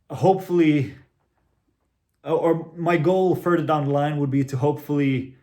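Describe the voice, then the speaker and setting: A man's voice: on the high side, a little mellow. Earnest young man from Finland. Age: 20s. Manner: earnest, measured, with small restarts. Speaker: credit union marketing executive. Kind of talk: prepared self-introduction talk.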